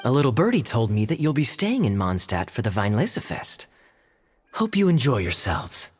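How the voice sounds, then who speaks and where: A man's voice: medium pitch, big pitch swings, normal speed, slight background noise, lightly acted. A man playing an easygoing townsman, echoing. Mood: friendly.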